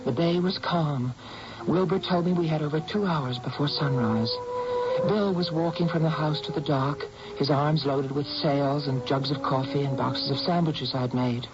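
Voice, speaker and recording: An actress playing a caring, decisive woman in a radio drama, speaking in an earnest, measured way. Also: deep voice, natural voice. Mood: measured narration. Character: caring, decisive woman telling her own tale. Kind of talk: radio drama acting and narration. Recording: dull, noisy vintage radio recording.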